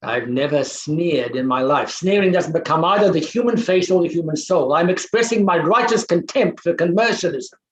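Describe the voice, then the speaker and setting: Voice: medium pitch, lively intonation, moderate pace, lightly acted. An actor playing an arrogant, overbearing middle-aged bachelor professor in a theatrical play reading, pompous and scornful, with a roomy, echoing sound. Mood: scornful, indignant.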